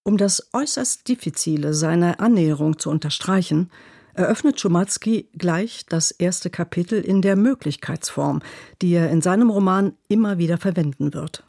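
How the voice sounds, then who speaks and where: A woman reading a scripted radio book review in a measured, precise literary tone. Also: fairly low pitch, creaky, warm tone, no echo, studio quality. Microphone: studio microphone.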